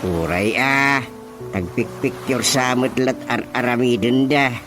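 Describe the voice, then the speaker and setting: A man's voice: medium pitch, slow pace, close to an everyday voice. An actor playing an exasperated, gossiping village man in a comedic radio drama, full of exclamations. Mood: grumbling gossip. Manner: exclamatory, repeated interjections. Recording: music bed behind voices.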